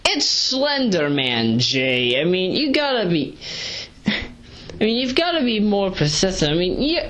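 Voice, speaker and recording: A woman's voice: mid-range voice, very animated, a little slow, a bit bright. A woman giving a casual, rambling audio review, sleep-deprived but hyper, digressing and correcting herself in slangy talk as she paces around. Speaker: video reviewer, vlogger. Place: pacing around while recording.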